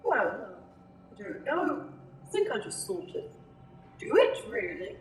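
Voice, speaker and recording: A woman playing a dutiful, dim young alien guard given to shouting, in a comic amateur radio drama. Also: mid-range voice, big pitch swings. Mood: unsure, pondering. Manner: bellowed catchphrases, halting reflective answers. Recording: roomy echo with clear background noise.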